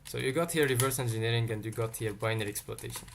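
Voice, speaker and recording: A man's voice: medium pitch, racing, gravelly, a little hiss or hum. A man leads a live-streamed coding lesson in a mid-range voice, thinking aloud as he goes. He stops to pick up viewers' questions from the chat. Faint keyboard typing can be heard behind him. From Tunisia. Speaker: livestream tech teacher.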